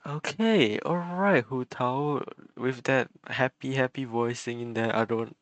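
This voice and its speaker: A man streaming a video game, chatting casually in an excitable, giggly, rambling way. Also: mid-range voice, unhurried, no room sound. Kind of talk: casual gameplay commentary.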